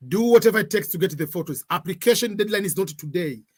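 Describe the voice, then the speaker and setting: A middle-aged man answers viewers' questions on a livestream, speaking at a slightly raised pitch. He hesitates often, fills pauses with "uh," and moves between English and Swahili. He sounds like he is at a webcam in a room at home. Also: expressive. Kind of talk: casual live Q&A.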